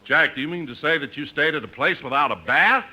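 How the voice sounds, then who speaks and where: A man's voice: a little high, expressive, fairly quick, bright, forceful tone, breathy edge. A man playing straight sidekick in a scripted 1950s radio comedy. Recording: noisy vintage radio broadcast, studio audience.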